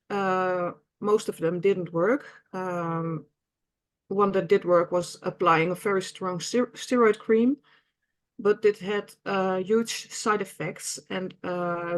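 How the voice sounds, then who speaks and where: Dutch-accented woman in her fifties, hesitant. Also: fairly low pitch. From Netherlands. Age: 50s. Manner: hesitant, many fillers and restarts. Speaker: patient representative. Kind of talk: online webinar presentation.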